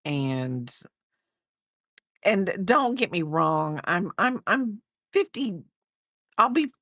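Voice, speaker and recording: Brief: woman in her fifties, rambling livestream host, lively pitch, dry close sound. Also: drawn-out words, no room sound. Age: 50s. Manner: rambling, chatty, reads out viewer comments. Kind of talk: casual livestream chat.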